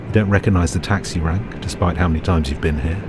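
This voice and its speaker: A British man reads a second-person audio drama as narrator, in a measured, understated and uneasy storytelling manner. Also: sits low. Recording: music bed behind the voice. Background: music.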